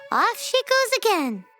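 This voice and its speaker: A woman voicing a tiny, childlike fairy companion in a video game, fretful, excitable and quick to react. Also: extremely high pitch, full character voice. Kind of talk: video game character acting.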